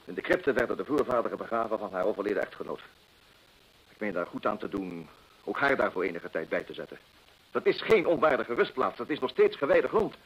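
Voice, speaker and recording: An actor playing a proud, cornered gentleman in a radio drama, formal and self-justifying as he defends his desperate deed. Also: on the low side, big pitch swings, a little fast, breathy edge, heavily acted. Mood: indignant, defensive. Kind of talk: Dutch radio drama acting. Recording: muffled vintage radio play recording.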